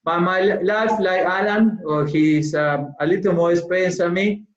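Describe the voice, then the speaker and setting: A man from Argentina with a fairly high voice and an Argentine Spanish accent speaks as an interviewer. His manner is friendly and appreciative. The recording is echoey and somewhat muffled. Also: normal speed. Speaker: interviewer, football coach. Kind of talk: conversational interview. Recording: muffled, echoey room sound.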